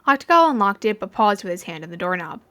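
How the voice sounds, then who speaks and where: A woman's voice: mid-range voice, very animated, very fast, sharp tone. A woman reading fan fiction aloud as a podfic narrator, in storytelling prose with acted dialogue.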